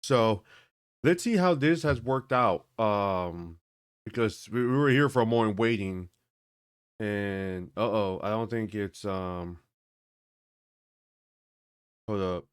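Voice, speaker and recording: A man hosting a tech video, chatting casually and thinking aloud as he tests a game, often halting and correcting himself. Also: fairly low pitch, clean tone. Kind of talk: casual commentary while testing a game.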